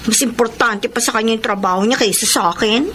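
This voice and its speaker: A woman's voice: fairly high pitch, fast talker, a bit bright, a little hiss or hum, close to an everyday voice. A woman acting a sulky, demanding girlfriend in a Filipino radio drama. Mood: sulky, indignant. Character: sulky, demanding young girlfriend working as drugstore cashier.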